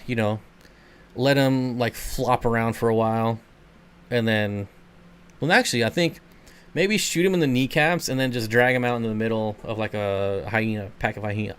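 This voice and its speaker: A man's voice: medium pitch, smooth. A man hosting a casual livestream, answering viewer comments in a laid-back, rambling way and chuckling often. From Michigan. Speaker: channel host.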